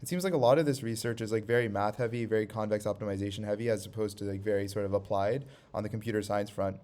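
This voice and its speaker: A man hosts a podcast interview, asking long, casual questions and often murmuring along while his guest speaks. His voice sits low. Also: fairly even intonation, fast, a little mellow. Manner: frequent backchannel listening sounds. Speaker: podcast host.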